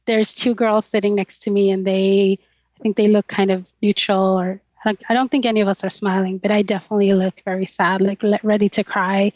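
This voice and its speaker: A woman's voice: mid-range voice, level tone, brisk, darkish tone, dry recording, muffled recording. A Swedish-born woman whose first language is Swedish, a podcast guest speaking candidly and thoughtfully about her life. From Sweden. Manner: reflective, thoughtful, with light laughs. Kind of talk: candid personal interview.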